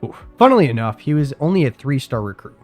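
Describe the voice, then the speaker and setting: A man's voice: medium pitch, sing-song, quick pace, darkish tone. A young man with a congested voice narrating a scripted sports video, with casual asides to his viewers. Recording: faint music behind voice.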